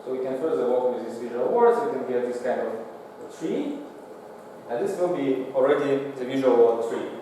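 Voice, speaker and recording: A man giving a technical lecture with slides in a lecture room, speaking halting, non-native English. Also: medium pitch. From Singapore. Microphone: far from the mouth, room pickup. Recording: echoey hall, distant mic, faint noise.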